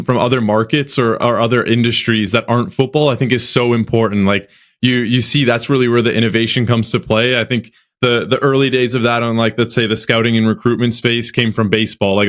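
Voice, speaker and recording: A man's voice: medium pitch, a bit bright. A man hosting a podcast interview, speaking casually and often restarting and repeating words. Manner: halting, repeats words as he talks. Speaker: podcast host.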